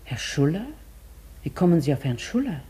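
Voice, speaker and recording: An older woman acting a poor, proud and wistful old widow in a German radio drama, speaking formally and with measured care. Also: sits low, big pitch swings, dark tone, heavily acted. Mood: guarded, indignant. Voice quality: husky, creaky.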